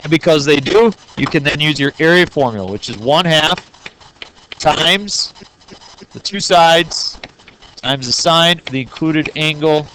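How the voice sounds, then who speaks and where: A man's voice: a little high, measured pace, pushed and bright, slight background noise, rough recording. A man teaching a math lesson to his class, joking and playful, talking straight to the students. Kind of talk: classroom lesson recorded on video.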